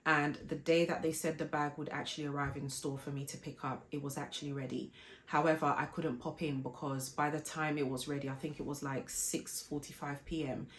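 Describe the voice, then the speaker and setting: A woman, hoarse from a flu, chatting politely as a vlogger telling a shopping story before an unboxing. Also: deep voice, little pitch movement, a little fast. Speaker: fashion and lifestyle vlogger. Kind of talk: casual unboxing vlog chat.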